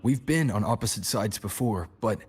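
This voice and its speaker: A man voice acting an earnest, serious young adventurer hero in a video game, husky, sincere and restrained. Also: fairly low pitch, expressive, moderate pace, darkish tone. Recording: music behind the voice.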